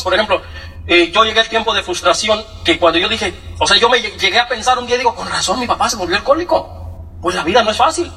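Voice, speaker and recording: Young Mexican-accented man, high bright voice, comic storyteller at a talk, heard from a distance. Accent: Mexican Spanish. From Michoacán, Mexico. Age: young adult. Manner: joking, animated, acts out quoted voices. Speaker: speaker giving a testimony.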